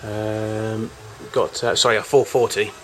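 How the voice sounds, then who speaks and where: A man giving an informal, unscripted show-and-tell as a collector of old machines, in a halting, rambling way with frequent self-corrections. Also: lowish voice, level tone, faint music behind, poor audio. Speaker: collector showing vintage tape recorders.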